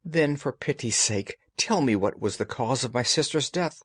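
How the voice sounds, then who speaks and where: A man voicing an anxious, pleading young gentlewoman in an audiobook, polite and earnest. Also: medium pitch, expressive, normal speed, husky, dry recording. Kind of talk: audiobook character dialogue.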